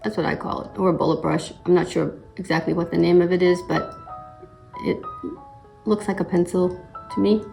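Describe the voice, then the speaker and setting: Middle-aged lady w a bit of a cold, low gravelly voice, chatty makeup how-to, clears her throat a lot. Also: moderate pace, pauses often, faint music behind, low-quality recording. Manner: relaxed step-by-step explaining with frequent "uh" and throat clearing. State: slight cold, a little raspy. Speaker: makeup vlogger. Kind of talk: casual makeup tutorial narration.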